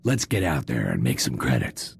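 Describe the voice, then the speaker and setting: Casting: roguish, money-loving male alien partner, space opera game. Bottom-register gravelly voice, noisy ambience. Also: very deep voice, very animated, moderate pace, exaggerated voice. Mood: eager, roguish. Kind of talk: video game cutscene voice acting.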